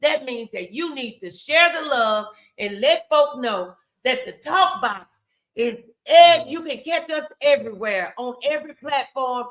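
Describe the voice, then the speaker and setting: Lady host doing casual faith motivation talk, real bright voice, emphatic, talks right to viewers. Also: high-pitched, lively intonation, measured pace. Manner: emphatic, encouraging, addresses viewers directly.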